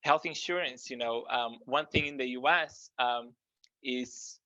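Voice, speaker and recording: A man with a Brazilian accent talks through financial topics with a bright, forward tone, pausing constantly on small fillers as he searches for words. The recording is dry and close, with a dull, lo-fi sound. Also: mid-range voice, no room sound. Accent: Brazilian Portuguese. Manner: hesitant, heavy with "um" and "you know" fillers. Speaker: financial research guest. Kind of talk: explanatory conversational talk.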